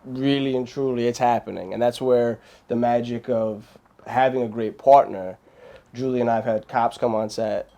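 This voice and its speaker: Male guest, mellow low-bright voice, animated and rambling, lots of restarts. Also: moderate pace, creaky. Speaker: filmmaker guest. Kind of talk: casual interview chat.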